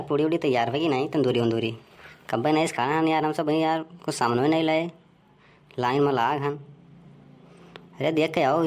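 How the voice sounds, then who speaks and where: A man's voice: on the high side, close to an everyday voice. A man playing a grumbling village man in a comic cartoon, conversational and rustic, with an Awadhi accent.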